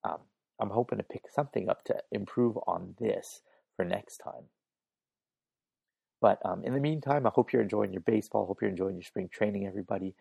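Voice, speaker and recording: A man hosting a casual solo podcast, hoarse and raspy, chatting informally and thinking aloud on a makeshift mic setup. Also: fairly low pitch, brisk, dark tone, no echo. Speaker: podcast host. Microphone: makeshift setup without proper recording gear.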